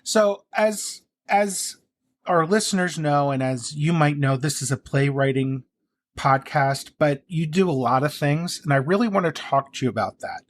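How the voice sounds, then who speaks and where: A man's voice: on the high side, normal speed. Effusive male podcast host. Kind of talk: friendly interview.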